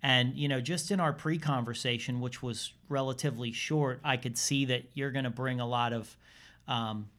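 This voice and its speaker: A man's voice: medium pitch, fairly even intonation, normal speed, fairly bright tone. A man hosting a podcast, introducing a guest in a rambling, enthusiastic way full of fillers. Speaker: podcast host.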